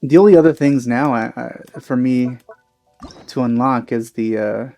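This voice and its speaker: A man hosting a let's play, giving casual game commentary in a musing, halting way. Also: mid-range voice, dark tone. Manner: musing, hesitant, halting phrasing. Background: game music.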